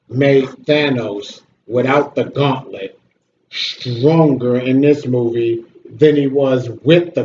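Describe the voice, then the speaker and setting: Male vlog reviewer, mellow but blunt and sweary, casual delivery, roomy lo-fi sound with faint noise. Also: mid-range voice. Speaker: video host and movie reviewer. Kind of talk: casual opinionated movie review chat. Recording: roomy echo, dull audio, slight noise.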